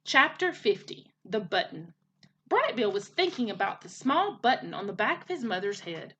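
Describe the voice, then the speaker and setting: Animated, bright-voiced woman reading a storybook. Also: medium pitch, normal speed, slight background noise. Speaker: teacher reading aloud. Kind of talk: animated children's storybook narration.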